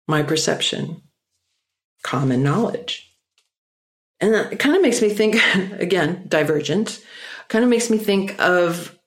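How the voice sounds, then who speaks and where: A speaker giving a reflective personal talk, musing aloud and often slowing down to stress key ideas. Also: mid-range voice. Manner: musing, with frequent "you know" fillers.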